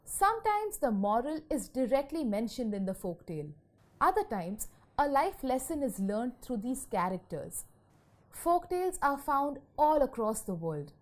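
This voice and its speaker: A woman's voice: high-pitched, darkish tone. A woman reading a scripted educational narration for children in an explanatory, storytelling manner. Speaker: lesson narrator.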